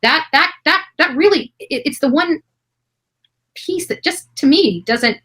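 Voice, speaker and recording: A woman's voice: high voice, animated, measured pace, fairly bright tone. A woman in her early forties in casual, emphatic discussion, often repeating phrases to drive a point home. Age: early 40s. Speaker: true crime YouTuber.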